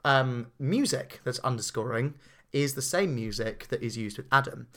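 Bright-voiced English podcast host. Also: male voice, mid-range voice, moderate pace. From England. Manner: rambling, animated, does little quoted voices. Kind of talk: casual podcast chat.

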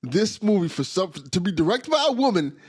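Excitable man hosting a podcast, husky. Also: sits high, a little fast, dry recording, studio quality. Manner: enthusiastic, laughs often, repeats words. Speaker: podcast host. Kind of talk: casual podcast chat.

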